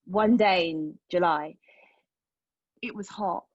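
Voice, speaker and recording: An actress playing a haunted young woman in a dramatic stage monologue, recounting a painful memory in a halting, intense way. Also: lowish voice, no echo, dull audio. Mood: bitter, halting. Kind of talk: dramatic monologue read at a table read of a play.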